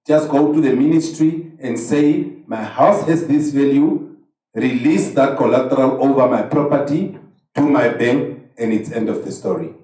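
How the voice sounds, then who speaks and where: A man's voice: medium pitch, normal speed, dark tone. A man presenting at a workshop, explaining practical advice to an audience in a plain, advising way. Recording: echoey hall, distant mic.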